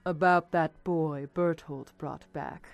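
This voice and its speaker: A woman's voice: sits low, steady tone, takes it slowly, creaky, muffled recording, exaggerated voice. A woman playing a stern, impatient noblewoman in an audio drama, scolding and sardonic. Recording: music under the voice.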